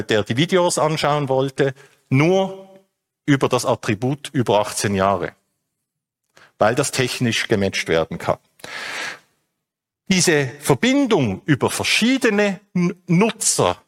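A man explains a legal and technical topic step by step, with frequent hesitations. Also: medium pitch. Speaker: speaker on data protection. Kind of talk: explanatory talk.

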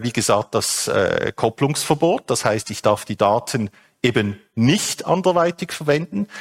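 Man explaining with lively pitch. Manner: explains step by step with many hesitations. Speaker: speaker on data protection. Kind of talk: explanatory talk.

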